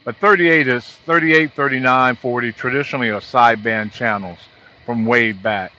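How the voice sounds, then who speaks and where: A middle-aged or older man, a trucker, giving an informal, halting walkthrough of gear from inside his truck cab. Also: mid-range voice, sharp tone. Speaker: truck driver vlogger. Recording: dull lo-fi audio.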